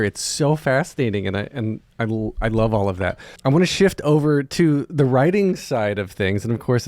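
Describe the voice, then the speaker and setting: A man's voice: on the high side, no room sound. A man hosting a casual podcast interview in a chatty, teasing, playful manner.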